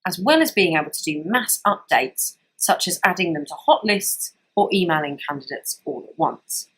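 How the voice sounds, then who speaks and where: A woman narrating a scripted software tutorial as a trainer, explaining things step by step in an instructional way. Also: fairly low pitch, expressive, moderate pace, slightly rough, poor audio.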